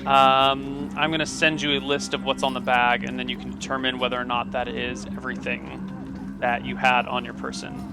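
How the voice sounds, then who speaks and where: Laid-back man running the game on a video call, mid pitch, music bed. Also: normal speed. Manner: relaxed, descriptive, with fillers. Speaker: game master. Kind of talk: narrating a tabletop game.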